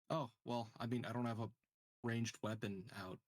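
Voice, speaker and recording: A man chatting casually as a game player, halting and often repeating himself as he thinks aloud. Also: medium pitch, a little mellow, dry recording. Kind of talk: casual chat during a game.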